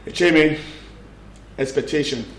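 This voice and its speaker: A man's voice: a little high, measured pace, fairly bright tone. A man with a Nigerian accent hosting a live television call-in show, courteously addressing callers as he goes. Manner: courteous, often answers with sir. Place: television studio. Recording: echoey studio, background noise.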